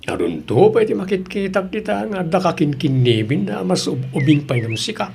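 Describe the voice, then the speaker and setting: A man playing a sly, ingratiating boyfriend in an audio drama, flattering and wheedling as he talks. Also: high-pitched, moderate pace, vocal fry, a little hiss or hum, full character voice. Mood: creepy wheedling.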